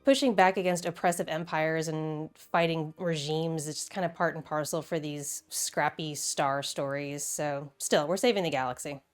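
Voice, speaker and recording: A woman's voice: low-pitched, normal speed, few pauses, faint music behind. A woman hosting a video essay in a casual, conversational and enthusiastic way, with wry asides.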